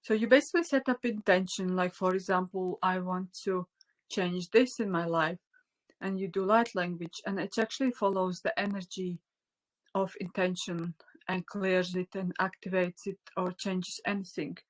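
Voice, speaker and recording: A woman's voice: fairly low pitch, fairly even intonation, normal speed. A woman whose first language is Latvian, teaching a live online spiritual class, talking off the cuff with many fillers and restarts, sometimes dropping to a near whisper, recorded on a phone.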